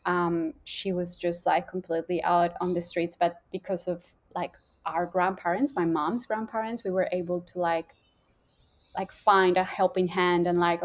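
Colombian-accented woman, slightly low voice. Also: darkish tone. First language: Spanish. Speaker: podcast guest, holistic coach. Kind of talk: personal storytelling in a podcast conversation.

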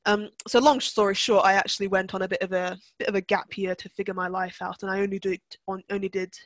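A young woman from Turkey talks through her ideas in a relaxed interview, circling back and restarting her sentences as she thinks aloud. Her voice sits at an ordinary middle pitch. The recording is dry and close, with no room sound. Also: quick pace, no room sound. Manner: reflective, with frequent restarts and fillers. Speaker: guest, NLP practitioner. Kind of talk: conversational podcast interview.

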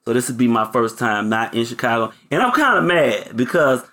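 A man's voice: medium pitch, big pitch swings, brisk, pushed and bright. A man co-hosting a podcast in a laid-back, playful way, chatting casually. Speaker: podcast co-host.

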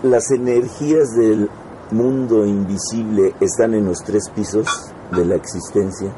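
A man's voice: lowish voice, steady tone, a little slow, mellow, slight background noise, poor audio. A man asking his teacher questions in a group class, as a student.

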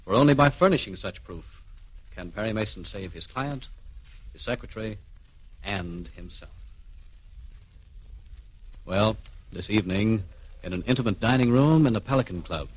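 Husky dramatic male radio serial narrator. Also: lowish voice, expressive, rough recording. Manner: portentous, measured emphasis. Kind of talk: dramatic scripted narration, 1940s-50s radio.

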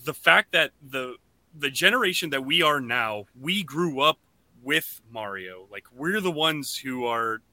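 A man hosting a podcast, chatting casually and enthusiastically in an animated way. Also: mid-range voice, a little slow, no echo, low-quality recording. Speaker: podcast host.

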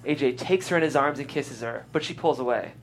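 A man's voice: mid-range voice, a little fast. A man reading screenplay stage directions aloud as the narrator of a live table read. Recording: faint background noise.

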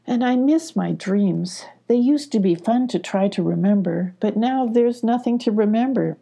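A woman's voice: mid-range voice, animated, normal speed, gravelly, dark tone. A woman voicing a small, tired, wistful girl puppy in a storybook, with a subdued manner.

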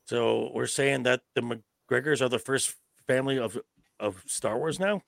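A man co-hosting a podcast, speaking haltingly and emphatically, repeating words as he gives his opinions. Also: mid-range voice, creaky, no echo, studio quality. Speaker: podcast co-host. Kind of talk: casual opinionated review chat.

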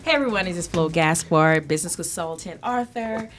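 Woman, panel guest, lower-leaning pitch, casual meandering delivery, faintly noisy recording with clicks. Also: a little fast. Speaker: guest, business consultant and life strategist.

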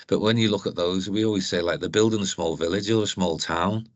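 A middle-aged man with a Liverpool accent talks in a low voice, rambling and restarting as an interview guest. The sound is dry and close. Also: fairly quick, no echo. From Liverpool, England. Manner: rambling, many restarts and "you know". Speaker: guest, council events advisor. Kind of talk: casual interview chat.

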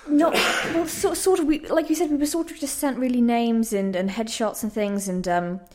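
A woman's voice: a little high, normal speed, warm tone. A woman speaking casually and reflectively as an interviewed playwright, with some hesitation. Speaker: playwright and trained actor interviewed.

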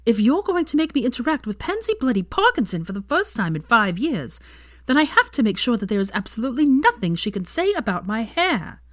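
Woman acting an anxious, bossy young witch, higher-pitched. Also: sing-song, brisk, no echo, studio quality. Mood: anxious, snappish. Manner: urgent, strict, emphatic.

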